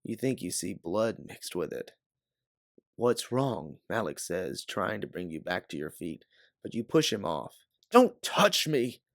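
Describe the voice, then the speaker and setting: Low, gravelly male audiobook narrator. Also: expressive, moderate pace, no room sound, studio quality. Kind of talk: second-person scripted adventure narration.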